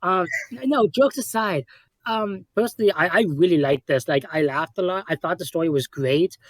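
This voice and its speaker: A man's voice: high-pitched, normal speed, no room sound. A man chatting casually as a commentary guest, giving his opinions in an informal way. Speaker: commentary guest and amateur voice actor.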